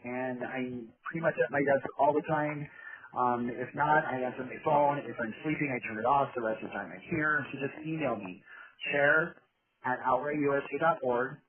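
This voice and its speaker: A man's voice: mid-range voice, normal speed. A man giving an informal, rambling presentation over a conference call, often checking in with tag questions. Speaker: advocacy group chair giving a presentation. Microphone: telephone conference call. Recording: narrow tinny phone line, chatter behind.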